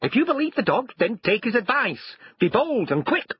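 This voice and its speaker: Man putting on a bossy, husky farmer's wife. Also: extremely high pitch, very animated, dry recording, highs cut off, voice pushed up, heavily acted. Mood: bossy urging. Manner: brisk nagging commands. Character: bossy, dismissive farmer's wife, adult woman. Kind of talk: animated storybook reading.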